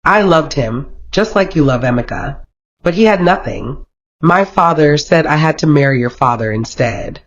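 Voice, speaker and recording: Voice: mid-range voice, expressive, moderate pace, lightly acted. A storyteller voicing a sorrowful middle-aged mother, confiding and regretful, in a folktale. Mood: wistful regret. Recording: dull, lo-fi sound.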